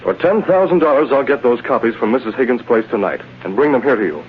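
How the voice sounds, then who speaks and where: An actor playing a cool, commanding masked vigilante in clipped, authoritative vintage radio drama style. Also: male voice, mid-range voice, animated, fast talker, natural voice. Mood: menacing, taunting. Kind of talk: 1930s-40s radio drama acting. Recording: muffled, noisy vintage radio broadcast.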